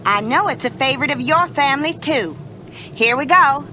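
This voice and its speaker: A woman's voice: high voice, expressive, moderate pace, background noise. A woman playing a folksy country fair performer with a Southern US accent, announcing on live radio in a scripted drama. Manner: folksy, presentational.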